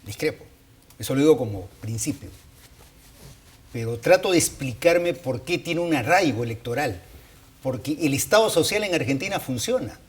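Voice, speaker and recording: A man giving unscripted analysis as a panelist, explaining deliberately and repeating points for emphasis. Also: medium pitch, expressive. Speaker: political panelist.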